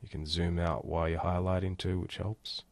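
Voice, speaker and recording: Man, low voice, dry close recording, casual software tutorial, step-by-step instruction. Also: flat delivery, normal speed, vocal fry, no echo.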